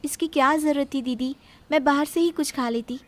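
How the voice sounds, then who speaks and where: A woman's voice: high voice, fairly even intonation, normal speed, a little hiss or hum, lightly acted. A woman playing an irritated young working sister-in-law who talks in a protesting manner in a story. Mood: mild protest.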